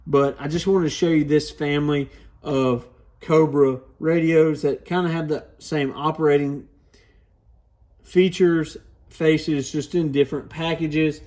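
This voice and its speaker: A man with a moderately high-pitched voice hosts a product overview. He speaks casually and informally, explaining features in a practical, conversational way. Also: steady tone, a little slow, darkish tone. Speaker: host of a CB radio channel.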